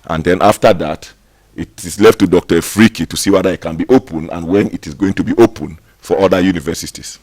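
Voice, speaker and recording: A man speaking as a university representative in a TV interview, emphatic and promotional, pausing often with fillers. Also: low-pitched, darkish tone, breathy edge, no echo. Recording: dry studio sound.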